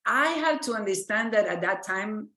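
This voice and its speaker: A woman from Argentina speaking English with an Argentine Spanish accent, a physician giving an earnest lecture and often pausing to search for words and restart her sentences. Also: medium pitch, expressive, creaky, sharp tone, reverberant. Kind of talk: lecture presentation.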